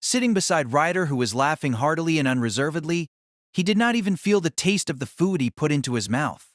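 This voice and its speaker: A man's voice: a little high, lively intonation, brisk, no echo, clean studio sound. A man narrating a novel as a scripted audio drama.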